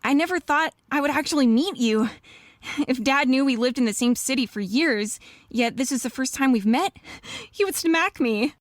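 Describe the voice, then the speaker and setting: Casting: actress as professional lady wolf attorney, eager and earnest, higher pitch, dry close sound, radio mystery dialogue. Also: expressive, moderate pace, no room sound. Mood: eager, admiring. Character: earnest, admiring female wolf lawyer, professional.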